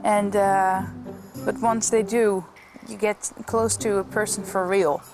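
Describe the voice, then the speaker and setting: A woman's voice: medium pitch, flat delivery, slow, music in the background, rough recording. A woman from the Netherlands whose first language is Dutch, talking in a thoughtful, friendly way and sometimes searching for words, in a documentary interview. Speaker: band vocalist.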